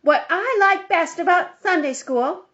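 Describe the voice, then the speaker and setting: A woman's voice: very high voice, a little slow, a bit bright, muffled recording, voice pushed up. A woman voicing a blunt, defiant little schoolboy in an audiobook, speaking emphatically.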